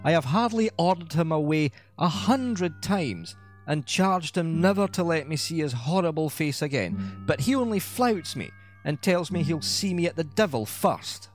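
A heavyset lady of the manor rails in a raised, shrill voice, as a male narrator plays her. She is outraged and indignant. Quiet music sits underneath. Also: sing-song, normal speed. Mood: furious.

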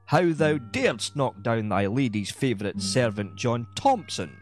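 A man's voice: mid-range voice, lively intonation, measured pace, faint music behind, natural voice. A man voicing an amused old laird who talks in a chuckling, teasing way.

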